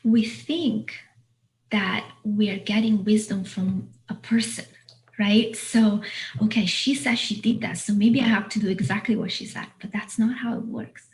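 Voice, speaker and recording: A woman hosting an online panel, speaking off the cuff in a halting, reflective way. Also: mid-range voice, steady tone, poor audio. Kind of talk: unscripted event introduction. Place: online video call.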